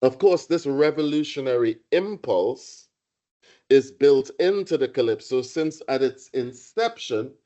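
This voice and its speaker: A middle-aged man from Trinidad, giving a reflective public lecture on poetry and music, pausing and restarting as he thinks. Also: medium pitch, expressive, dark tone, muffled recording. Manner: reflective, halting with restarts and fillers. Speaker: guest lecturer, poet.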